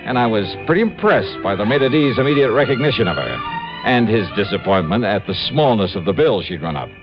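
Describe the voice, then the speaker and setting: An actor playing a smitten, wisecracking insurance investigator in a 1950s radio drama, wry and apt to stammer when flustered. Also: male voice, lowish voice, lively intonation, brisk, close to an everyday voice. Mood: wry narrating. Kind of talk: 1950s radio detective drama, first-person narration and dialogue. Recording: muffled old radio recording, music behind.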